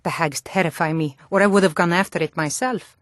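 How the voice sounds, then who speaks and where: An actress playing a sturdy, earnest Nord woman in a fantasy video game, with clear, deliberate delivery. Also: fairly low pitch, no echo, studio quality, natural voice.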